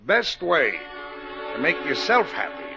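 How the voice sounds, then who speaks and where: A man's voice: fairly high pitch, sing-song, measured pace, creaky, loud music behind the voice, exaggerated voice. An actor playing a jovial older man who gives fatherly advice with a folksy, chuckling manner, in 1940s radio acting. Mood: genial. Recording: dull lo-fi 1940s radio broadcast.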